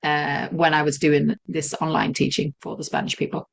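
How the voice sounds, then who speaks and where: A woman's voice: low-pitched, flat delivery, normal speed, gravelly. Swedish woman chatting with a light laugh. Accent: southern Swedish. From Sweden. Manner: friendly, laughs easily, fillers. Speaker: guest, virtual assistant. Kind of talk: casual interview chat.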